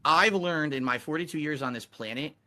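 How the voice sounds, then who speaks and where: A man in his forties speaks quickly in a bright, forceful voice. He sounds measured and defensive, and he circles back to the same points as he argues his case on a live stream. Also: fairly high pitch. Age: 40s. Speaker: streamer facing guests. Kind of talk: heated streamed discussion.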